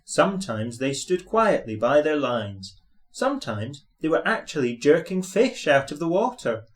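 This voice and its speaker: A man's voice: a little high, sing-song, measured pace. A man reading a scripted children's story as narrator.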